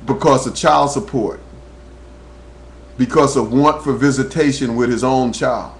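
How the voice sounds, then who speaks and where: A man from New Jersey talks straight into his webcam with the earnest, preacher-like drive of a talk radio host. His voice sits at a middle pitch and climbs as he warms to his own story. A faint electrical hum runs underneath the recording. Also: steady tone, unhurried. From New Jersey, USA. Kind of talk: webcam monologue. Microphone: webcam microphone.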